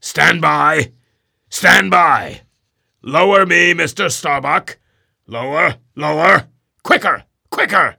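A man voicing an obsessed, commanding old sea captain who shouts orders across the deck. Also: fairly low pitch, big pitch swings, takes it slowly, few pauses, husky, exaggerated voice.

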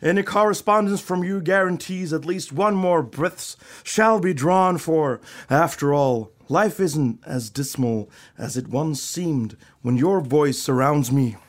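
A man's voice: fairly high pitch, measured pace, hardly any pauses, natural voice. A man playing a florid, lovestruck romantic in a radio play, with overwrought poetic delivery. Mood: swooning. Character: lovestruck, florid, melodramatic young man pining on the phone.

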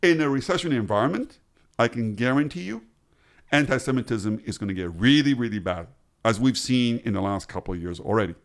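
A man's voice: mid-range voice, expressive, fairly quick. A middle-aged man born in the US and raised in Taiwan, a guest economist answering interview questions with emphasis, often repeating words as he starts. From born in the US, grew up in Taiwan.

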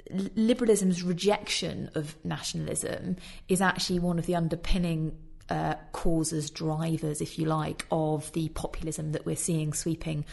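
Female host, lowish register, nasal from a cold, chatty discussion delivery. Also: vocal fry, darkish tone. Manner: conversational, with fillers. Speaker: podcast host. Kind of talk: casual discussion.

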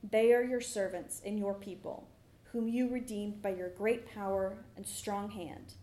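A woman's voice: mid-range voice, fairly even intonation, a little slow, warm tone. A woman reading scripture aloud in a formal style at a church service. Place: church sanctuary during a service. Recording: echoey church room.

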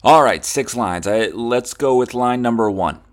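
A man's voice: medium pitch, lively intonation, unhurried. A man chatting casually as a podcast host, joking, teasing and swearing freely while he encourages the other person. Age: adult son of the other speaker.

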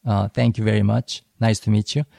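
A man whose first language is Korean, co-hosting a podcast and explaining ideas in a thoughtful, slightly halting way. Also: on the low side, little pitch movement, creaky, mellow, dry recording, studio quality. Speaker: podcast co-host. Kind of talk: casual educational discussion.

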